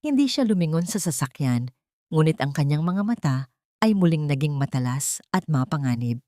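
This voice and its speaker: A woman's voice: low voice, very animated, moderate pace, mellow, no echo, clean studio sound. A woman reading scripted dramatic story narration in a solemn manner.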